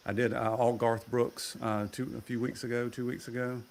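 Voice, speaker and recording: Guy with a low gravelly voice chatting on a video call, bit of background hiss. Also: level tone, normal speed. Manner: easygoing, rambling with fillers. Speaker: guest. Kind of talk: casual chat.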